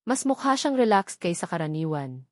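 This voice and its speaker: A woman's voice: fairly low pitch, sing-song, moderate pace, dry recording. Filipino woman narrating a romance story, dry recording. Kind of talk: scripted first-person romance narration.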